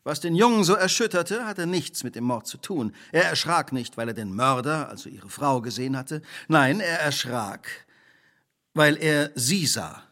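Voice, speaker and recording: Shrewd detective, theatrical, highly varied melody, precise diction, formal, relentless, radio drama. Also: male voice, animated, moderate pace, pushed and bright. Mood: insistent, triumphant. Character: shrewd, relentless male detective laying out a crime.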